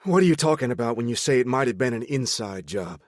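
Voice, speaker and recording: A man's voice: medium pitch, lively intonation, fast, dry recording, clean studio sound, voice pushed up, natural voice. A man voicing a short-tempered male team leader, speaking with strained patience. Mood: exasperated.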